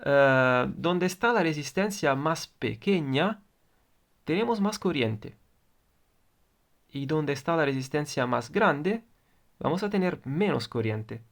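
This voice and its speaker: A man's voice: fairly high pitch, expressive, slow pace. A man with an Italian accent, a native Italian speaker, teaching an electronics lesson and often repeating and rephrasing his points. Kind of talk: explaining electronics on video.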